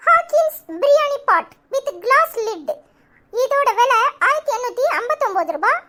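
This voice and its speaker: A woman voicing a chirpy little cartoon child who presents products in a scripted promotion, with a pitched-up voice. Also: sing-song, a little slow, bright, forceful tone, a little hiss or hum, exaggerated voice.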